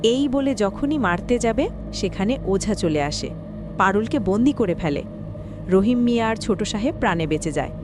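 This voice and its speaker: Expressive woman narrating horror. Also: mid-range voice, moderate pace, background noise. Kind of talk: scripted horror story narration.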